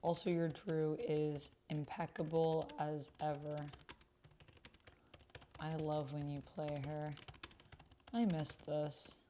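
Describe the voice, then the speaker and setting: A woman's voice: deep voice, little pitch movement, slow, pauses often, dark tone. A woman reading chat messages aloud as she types, murmuring to herself in fragments. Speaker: solo roleplay player and video host. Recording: noisy, keyboard typing and clicks. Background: computer keyboard typing, clicks.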